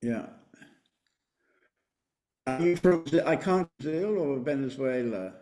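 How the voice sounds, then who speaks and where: Man, spiritual teacher, calm measured talk, repeated affirmations, video call, roomy echoey audio. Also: medium pitch, faint music behind. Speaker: spiritual teacher hosting an online meditation. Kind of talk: relaxed group-meeting talk. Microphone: computer mic on a video call.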